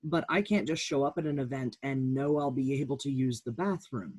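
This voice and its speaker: Male panelist, husky voice, candid and halting personal testimony, dry recording. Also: medium pitch, darkish tone, no room sound. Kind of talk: candid personal account in a roundtable.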